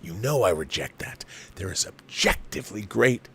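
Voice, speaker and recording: A man's voice: lowish voice, sing-song, moderate pace, vocal fry, a bit bright, husky. An older man hosting a movie talk show, reading viewer mail aloud and riffing on it in an opinionated, emphatic, conversational way.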